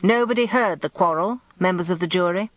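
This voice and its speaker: A woman's voice: fairly low pitch, no room sound, lightly acted. Woman as theatrical old male barrister, muffled. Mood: dramatic, building. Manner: measured courtroom oratory with dramatic pauses. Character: theatrical old male barrister, shrewd, rhetorical, mock-polite. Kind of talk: radio drama courtroom speech.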